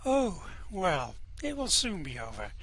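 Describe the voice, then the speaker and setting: A man's voice: sits high, huge pitch swings, measured pace, vocal fry, crowd noise, heavily acted. A man voicing a cheerfully evil male alien prison official with a smarmy, falsely polite way of talking, in an amateur radio play reading.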